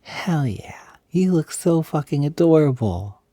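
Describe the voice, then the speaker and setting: A man playing an affectionate, smitten young roommate in an intimate roleplay audio, talking in a soft, breathy, gently hesitant and playful way. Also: fairly high pitch, expressive, unhurried, dry recording. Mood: smitten, delighted. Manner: gentle, hesitant, giggly, softly doting. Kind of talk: intimate roleplay audio, casual improvised-sounding chat.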